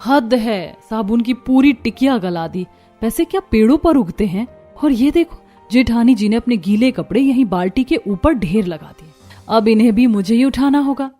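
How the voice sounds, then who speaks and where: A woman's voice: a little high, animated, moderate pace, mellow, faint music behind. A woman voicing an overworked young daughter-in-law in a cartoon story, exasperated and complaining. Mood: fed up. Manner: complaining, put-upon. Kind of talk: Hindi cartoon story voice acting.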